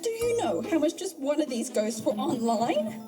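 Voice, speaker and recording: A woman's voice: sits high, big pitch swings, moderate pace. A woman playing a ruthless, card-obsessed villainess in a comedic audio drama, menacing and theatrical. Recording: music bed behind the voice.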